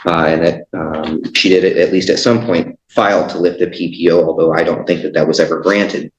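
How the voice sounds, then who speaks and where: A man speaking as a defense attorney in court, arguing haltingly and hesitantly. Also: sits low, level tone, a little hiss or hum. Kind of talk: argument in court. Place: echoey indoor courtroom. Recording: echoey room, muffled sound.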